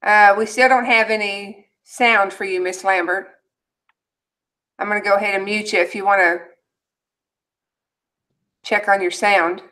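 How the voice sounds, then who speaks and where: A woman's voice: mid-range voice, steady tone, unhurried, long pauses, bright, forceful tone. A woman moderates a group video call in a practical, conversational way. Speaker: session moderator.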